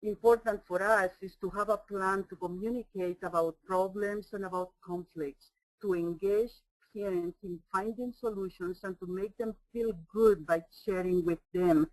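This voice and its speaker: A woman's voice: mid-range voice, steady tone, normal speed, darkish tone, no echo, poor audio. A woman from El Salvador with a Spanish accent, presenting a webinar as a trainer in an earnest, emphatic way.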